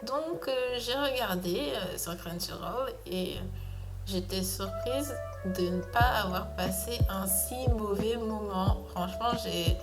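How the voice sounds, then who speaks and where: A young woman talks through her review in a relaxed, unscripted way, stumbling and restarting often, her pitch sitting right in the middle. Loud background music runs under her voice. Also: slow. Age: young adult. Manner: hesitant, many filler sounds and restarts. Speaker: video essayist reviewing a cartoon. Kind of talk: casual spoken review commentary. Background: music playing.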